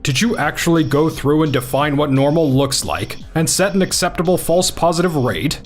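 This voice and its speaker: A blunt, dependable young office team lead, voiced by a man in a manga dub. His voice sits fairly high and swings up and down expressively, with soft music underneath. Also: expressive, moderate pace, close to an everyday voice. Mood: critical. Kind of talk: anime-style manga dub acting.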